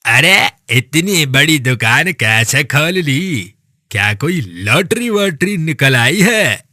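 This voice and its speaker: Man doing a nosy, envious village neighbour, animated. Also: fairly high pitch, slow pace, no room sound, clean studio sound, full character voice. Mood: astonished. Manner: suspicious, muttering to himself. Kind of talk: animated moral story voice acting.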